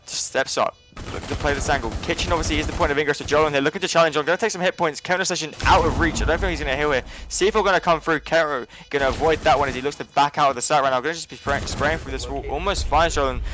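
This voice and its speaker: Fast-talking English esports caster. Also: male voice, a little high. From England. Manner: rapid, steady play-by-play calling. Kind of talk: live game commentary.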